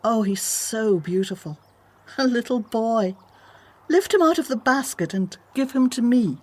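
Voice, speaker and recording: An actress playing a willful, commanding Egyptian princess in a radio drama, haughty but with a tender streak. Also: female voice, on the high side, expressive, a little slow. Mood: excited, tender. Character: imperious, willful Egyptian princess, softening to babies.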